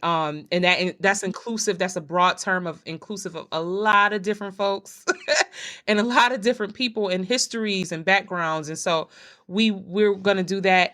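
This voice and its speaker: A woman hosting a podcast, introducing her guests in an earnest, unscripted way with many pauses to think. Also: fairly low pitch, fairly bright tone. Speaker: podcast host. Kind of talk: casual spoken introduction.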